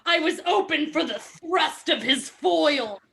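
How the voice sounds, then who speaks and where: Woman, high bright voice, playful theatrical book reader who slips into casual chat. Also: lively intonation, slow pace, gravelly, a little hiss or hum. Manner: theatrical, jokey, often breaking into laughter. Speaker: podcast host. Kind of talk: dramatic reading of a book with casual banter.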